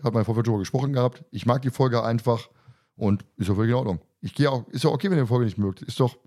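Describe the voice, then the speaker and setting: A man's voice: medium pitch, darkish tone. A man hosting a podcast, chatting informally and colloquially with his co-hosts. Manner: informal, colloquial, mildly crude. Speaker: podcast host and moderator. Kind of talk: casual podcast chat among co-hosts.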